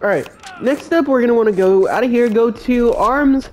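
A man's voice: very high-pitched, slight background noise, low-quality recording. A man with a cold and a congested voice, hosting a step-by-step game build tutorial as a voice-over. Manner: slow, plain instruction-giving voice-over.

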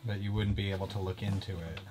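A man's voice: sits low, little pitch movement, quick pace, heavy background noise. A young man chatting casually on a vlog and plainly laying out the day's plans. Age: young adult. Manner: easygoing, plainly explains the plan. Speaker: vlogger. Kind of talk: casual chat. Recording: music playing under the voice.

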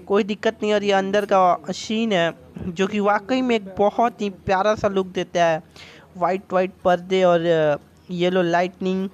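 A young man from India vlogging a night out at a restaurant, chatty and enthusiastic, talking straight to his viewers over a phone camera. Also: high-pitched. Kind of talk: casual vlog narration. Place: outdoor restaurant terrace at night.

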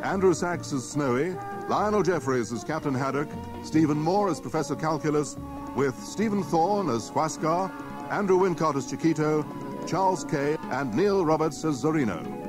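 A man's voice: on the high side, expressive, normal speed, over music. A man reading scripted closing credits as a radio drama announcer.

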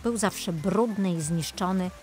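A woman's voice: on the low side, a little slow, a little mellow. A woman reading scripted narration for a children's audiobook as a storyteller. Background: music.

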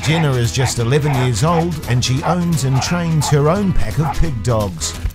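A man's voice: mid-range voice, animated, moderate pace. Dry tongue-in-cheek narrator man. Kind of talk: scripted narration. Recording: voice-over with loud music.

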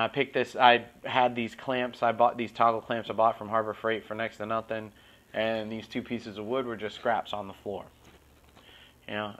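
A middle-aged man giving a relaxed, casual tour of his garage woodshop, talking easily with lots of "you know" and "uh", recorded on a camera across the room. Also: medium pitch, highs cut off. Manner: relaxed, conversational, many fillers and "you know". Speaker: woodworking channel host. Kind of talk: casual shop tour walkthrough. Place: cluttered home garage woodshop, indoors. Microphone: wide-angle camera at a distance.

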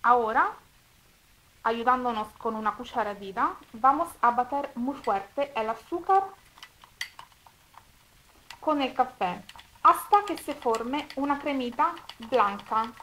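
An Italian woman with an Italian accent speaking Spanish as a second language, demonstrating a recipe step by step at home in a careful, slightly halting way. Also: a little high, animated, fairly bright tone, slight background noise, poor audio. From Italy. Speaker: cooking video host. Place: home kitchen table.

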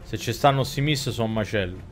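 A man's voice: fairly low pitch, quick pace. A man in his thirties with a Roman accent giving slangy, exclamatory live commentary as a game streamer, talking to his chat and characters. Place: indoors at a streaming desk. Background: game music.

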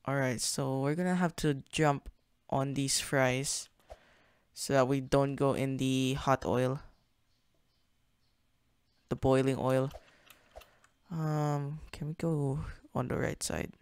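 A man's voice: mid-range voice, steady tone, takes it slowly, long pauses, clean tone, no echo, clean studio sound. A young man hosting a gaming channel, casually narrating his play in a chatty, slangy way, thinking aloud as he goes. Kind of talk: casual gameplay commentary.

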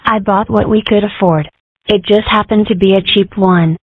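A weary female rabbit fixer from a cartoon comic dub, acted by a woman, speaks with deadpan, sardonic wit. Her voice sits at a middling pitch. The recording is close and dry, with a dull, muffled tone. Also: normal speed, husky, no room sound, close to an everyday voice. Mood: weary, explaining. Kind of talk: comic dub character acting.

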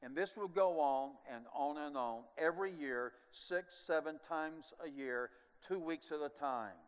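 Older pastor guy preaching on a big church stage, kinda high voice, booming hall echo, animated then goes quiet. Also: unhurried, smooth. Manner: animated preaching with sweeping gestures, drops to quiet asides. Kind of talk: sermon. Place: large church stage, indoors. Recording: long church hall reverb.

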